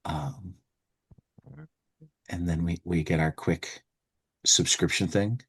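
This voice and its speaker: A man hosting a podcast in casual chat, rambling and halting, often restarting his phrases. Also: sits low, fairly even intonation, a bit bright, slightly rough. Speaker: podcast host.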